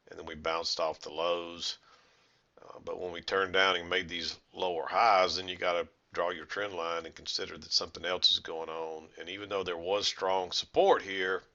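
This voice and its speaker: A middle-aged man with a hoarse, congested voice from a head cold, explaining chart trades in a rambling, self-correcting way. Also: low voice, pushed and bright, lo-fi sound. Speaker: trading educator. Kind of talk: screen-share trading recap.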